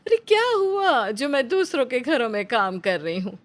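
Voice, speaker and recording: A woman's voice: sits high, very animated, normal speed, a little hiss or hum, voice pushed up. A woman voicing a caring, worried mother, tender and insistent, in a dramatized cartoon story. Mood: pleading. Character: caring, worried poor widowed mother to grown son.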